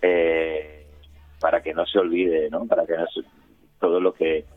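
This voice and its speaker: A male radio guest with an accent from Spain talks in a deep voice over a narrow, dry phone-like connection. He is informal and hesitant, often doubling back on words. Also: little pitch movement, a little slow. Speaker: community radio member, interviewee. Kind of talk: casual interview talk. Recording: roomy, phone-like remote line.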